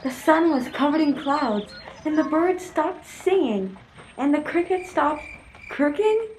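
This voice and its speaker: A woman narrates a scripted radio play. Her voice sits high in pitch, and she reads as a storyteller. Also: lively intonation, measured pace, a little mellow, a little hiss or hum, low-quality recording.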